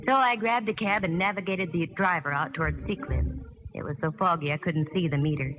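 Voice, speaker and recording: A woman's voice: on the low side, close to an everyday voice. An actress plays a wisecracking American woman detective in a 1940s radio show, tossing off sassy, wry lines. The old recording is dull and reverberant, with faint music behind. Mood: breezy, wry. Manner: sassy, wry, quick with quips. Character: wisecracking brassy female private detective. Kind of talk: 1940s radio acting, first-person narration and dialogue.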